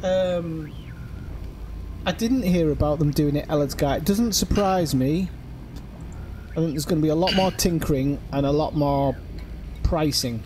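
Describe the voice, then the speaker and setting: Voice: mid-range voice, unhurried, long pauses, background noise. A livestream host chatting casually with friends while playing, apologetic and thinking aloud with fillers. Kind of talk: casual gaming chat with friends.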